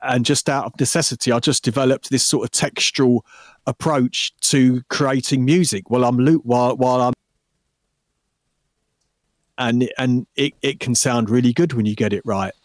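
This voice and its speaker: A man who is a guest musician, talking in a thoughtful, measured way with many restarts. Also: mid-range voice, steady tone, no room sound, clean studio sound. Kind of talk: casual interview chat.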